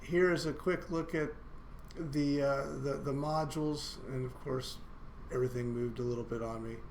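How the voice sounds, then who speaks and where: A male university instructor going through a course syllabus in a recorded online lecture, talking in a monotone with many halting fillers and restarts. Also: medium pitch, slight background noise. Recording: roomy echo, faint room ambience.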